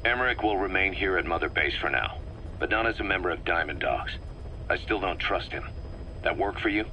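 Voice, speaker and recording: A man's voice: low voice, expressive, a little fast. A man playing a stern, distrustful mercenary officer in a video game, giving briefings in a clipped, authoritative way. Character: stern, distrustful male mercenary second-in-command briefing his commander. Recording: faint background noise on cassette recording.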